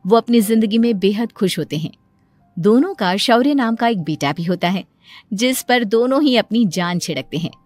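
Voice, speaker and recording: A woman's voice: medium pitch, expressive, moderate pace, a little mellow. Woman narrating a story over music. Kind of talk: scripted moral story narration.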